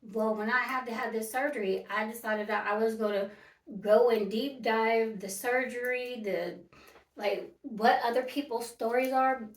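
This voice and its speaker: A woman in her early fifties talking casually and directly to her viewers, emphatic and repeating her points. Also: a little high. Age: 50s. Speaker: vlogger. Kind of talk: casual talk to camera. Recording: echoey room, mic at a distance.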